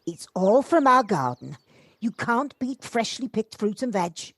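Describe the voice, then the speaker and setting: A woman's voice: a little high, very animated, measured pace, a bit bright, dry recording, exaggerated voice. A woman playing a kindly, chatty and slightly reserved neighbour in an amateur audio drama, speaking politely. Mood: chatty, approving. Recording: home-recorded on a personal device.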